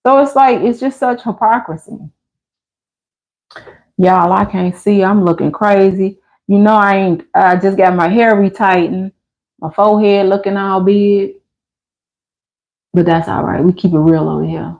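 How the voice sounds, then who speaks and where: A woman's voice: mid-range voice, measured pace, pauses often. Candid American woman, slightly muffled livestream audio. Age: 50s. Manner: candid, conversational, colloquial, animated retelling with acted-out quotes. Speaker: livestream host. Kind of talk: casual livestream chat with viewers.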